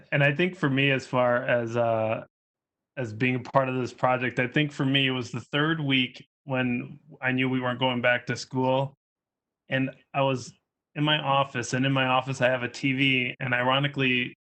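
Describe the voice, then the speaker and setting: A man with an average-pitched voice moderates an informal discussion as an instructor. His intonation stays quite flat as he puts questions and adds his own reflections. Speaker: instructor moderating student presentations.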